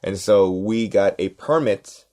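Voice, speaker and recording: A man tells stories in a casual podcast chat. He is animated and often checks in with "right?" He acts out the other people in his stories. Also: on the low side, slow pace. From Los Angeles, United States. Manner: animated, acts out quoted voices, repeats "right?". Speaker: podcast cohost, stand-up comedian. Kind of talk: casual storytelling.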